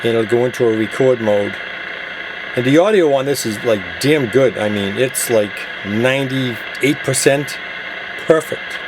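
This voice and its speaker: A man gives an informal product demo in a plain-spoken, step-by-step way. His voice sits at a middling pitch. Clear radio noise and static run behind him as he talks. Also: unhurried. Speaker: product demonstrator, CB radio gadget seller. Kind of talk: casual hands-on product demonstration.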